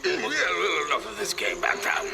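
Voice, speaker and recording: A man's voice: high voice, sing-song, measured pace, gravelly, heavily acted. A man voicing a pompous, forgetful old elephant colonel in a cartoon, indignant and rambling. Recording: loud music behind the voice.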